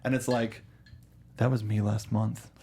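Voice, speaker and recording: A man hosting an informal film review show, talking casually and haltingly with emphatic repetition. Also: fairly low pitch, little pitch movement, dark tone. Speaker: talk show host.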